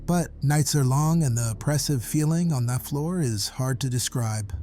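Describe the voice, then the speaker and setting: Male night-shift janitor voiced by an actor, relaxed warm tone, mid pitch, wry and matter-of-fact storytelling for audio horror. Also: normal speed, faint music behind, close to an everyday voice. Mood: brooding, storytelling. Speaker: caller.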